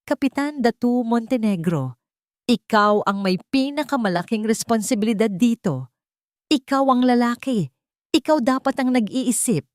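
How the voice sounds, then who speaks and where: A woman voicing a sharp-tongued, scheming, domineering woman in a dramatic story, scornful and demanding. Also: fairly high pitch, lively intonation, normal speed, no room sound, studio quality, voice pushed up, close to an everyday voice. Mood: angry, mocking.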